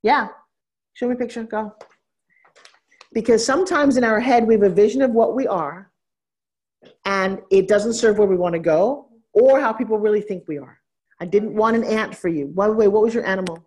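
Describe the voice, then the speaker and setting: A woman business coach on stage at a live seminar, teasing and bossing a guest with quick interruptions. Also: medium pitch, a little mellow. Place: event stage with audience.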